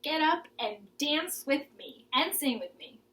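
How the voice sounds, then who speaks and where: A young woman leads an educational lesson for children. Her voice sits fairly high and she speaks slowly, in simple instructive phrases. She encourages her listeners to take part. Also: lively intonation, bright, forceful tone. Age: young adult. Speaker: children's garden class teacher. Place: living room at home, indoors.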